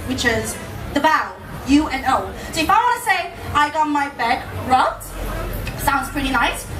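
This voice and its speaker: A woman from South Korea, a native Korean speaker with a Korean accent, giving an informal live talk to an audience with rhetorical tag questions. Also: sits high. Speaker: public speaker, language teacher. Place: indoor venue in front of an audience. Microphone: camera at a distance. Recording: echoey room, distant camera mic, noisy.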